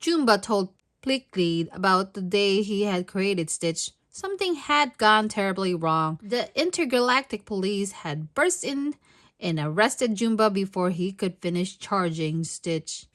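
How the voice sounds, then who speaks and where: Female storytime narrator, unhurried, expressive, speaking straight to young kids. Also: medium pitch. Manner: expressive, addressing young children. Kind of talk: children's read-aloud narration.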